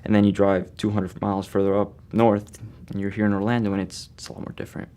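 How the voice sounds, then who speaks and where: A young man who grew up in Venezuela, talking as a student in a documentary interview in a relaxed, conversational way. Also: lowish voice, fast talker, a little hiss or hum. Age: young adult. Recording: faint music underneath.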